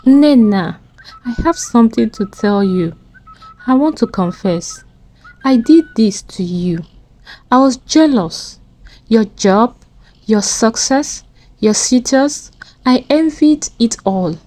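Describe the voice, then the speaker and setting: A woman's voice: a little high, animated, slow, long pauses, mellow, voice pushed up, rough recording. A woman voicing a jealous, bitter young woman, a treacherous friend in a folktale, with emotional, dramatic delivery. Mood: tearful confessing.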